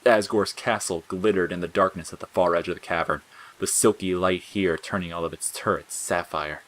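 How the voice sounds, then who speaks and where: A man's voice: sits low, fairly even intonation, normal speed, a bit bright. A man reading scripted fiction as the narrator.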